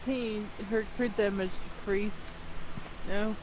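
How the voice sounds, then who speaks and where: Slow-paced woman chatting on a noisy stream. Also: medium pitch, steady tone. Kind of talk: casual chat.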